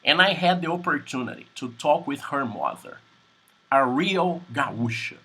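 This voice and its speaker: A Brazilian man with a Portuguese accent teaching English on a video call, speaking in slow, simple sentences and repeating words. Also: on the high side, animated, sharp tone, breathy edge, low-quality recording. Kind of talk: online English class conversation.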